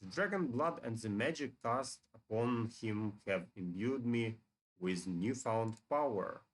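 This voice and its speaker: Man as young avenging dragon, righteous, earnest, solemn, slow delivery, game dialogue. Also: medium pitch, natural voice. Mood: awed, solemn.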